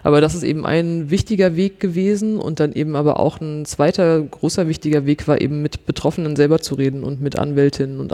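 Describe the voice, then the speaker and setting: A man speaks in a relaxed, chatty way, filling his sentences with little hedging words. His voice sits a bit high for a man but sounds mellow and warm. He comes across as a wry journalist explaining his work. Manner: chatty, many fillers like irgendwie and so, wry. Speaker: investigative journalist guest. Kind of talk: casual conversational interview.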